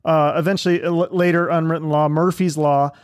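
A man hosting a casual interview, prompting his guest with relaxed questions. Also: fairly high pitch, a little fast, clean tone, dry recording, clean studio sound. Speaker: podcast host.

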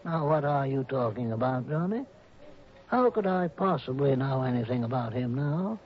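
An actor playing an older retired judge on a 1960s radio drama, talking in a deliberate, hedging, lawyerly way. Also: male voice, mid-range voice, lively intonation, natural voice. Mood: measured. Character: retired old male judge, measured and discreet. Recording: dull old radio broadcast.

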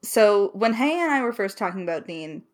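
Young woman, medium pitch, enthusiastically explaining. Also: sing-song, fairly quick. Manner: enthusiastic, informal, thinking aloud. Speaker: lead writer of an audio drama. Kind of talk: casual chat, explaining creative process. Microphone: recorded over Discord call.

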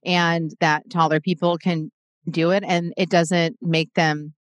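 Casual co-host, woman in her 40s, low-ish voice, rambling and reflective, dry close mic. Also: flat delivery, a little slow, no echo, lo-fi sound. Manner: chatty, reflective, many fillers and restarts. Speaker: podcast co-host. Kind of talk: casual chat.

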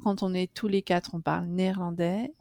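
A woman's voice: fairly low pitch, fairly even intonation, moderate pace, warm tone, no room sound, studio quality. A middle-aged French woman, a guest in a casual interview, talking chattily with many fillers and restarts.

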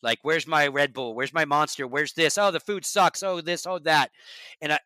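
Blunt, bright-voiced man talking shop. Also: moderate pace, no echo. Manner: blunt, emphatic, swears, drops to a low quiet voice. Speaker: esports broadcast talent, guest. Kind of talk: casual podcast chat.

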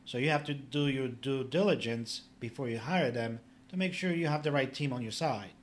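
A man's voice: medium pitch, moderate pace, a little hiss or hum. Man explaining plainly. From Poland. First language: Polish. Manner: plain-spoken and instructive, with filler "you know". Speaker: public adjuster, guest. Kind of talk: casual podcast interview.

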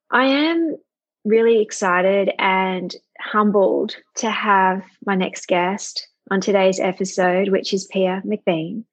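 Husky-voiced woman hosting a motivational podcast. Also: medium pitch, poor audio. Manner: earnest, affirming, with a motivational tone. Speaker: podcast host. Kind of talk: scripted podcast intro and interview.

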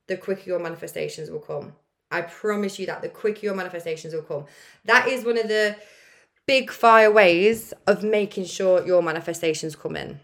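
A young woman hosting her own podcast, with a croaky, deep voice, giving earnest, chatty pep talks and laughing often. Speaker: podcast host. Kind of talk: casual motivational solo podcast.

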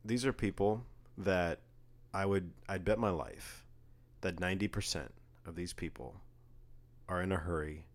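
A young man co-hosting a casual talk show, jokey and animated, getting louder when he gets worked up. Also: unhurried. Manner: animated, jokey, rising in pitch and volume when riled. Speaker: podcast co-host. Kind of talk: casual chat.

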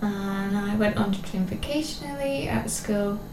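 A young adult woman from Scotland with a Scottish accent that sometimes slips toward English, co-hosting a casual chat in a chatty manner with quick asides. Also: medium pitch. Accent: Scottish, at times English. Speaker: co-host. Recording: echoey room with faint noise.

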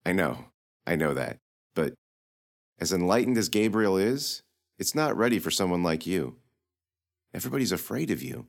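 A man voicing a gentle mentor speaking in a soothing, earnest way. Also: low-pitched, very animated, moderate pace, vocal fry, long pauses, exaggerated voice.

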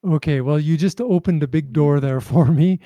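A man's voice: fairly high pitch, normal speed, dark tone, no echo, studio quality. A man conducting a conversational interview, phrasing his questions carefully and tentatively.

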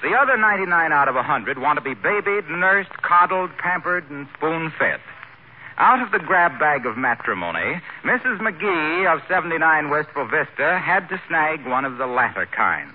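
A man announcing and introducing a 1940s radio comedy, smooth and measured. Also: on the high side, very animated, phone-quality sound. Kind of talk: old-time radio announcing. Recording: crackly thin old radio broadcast.

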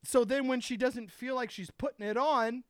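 A man's voice: very high voice, fairly even intonation, normal speed, clean tone, no echo, clean studio sound. A man ranting heatedly and profanely in a casual chat among friends.

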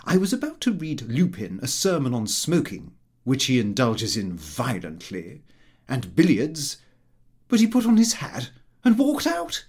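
A man reading a comic first-person diary as an audiobook, in a prim, expressive, self-important manner. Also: mid-range voice, measured pace, breathy edge. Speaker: audiobook narrator.

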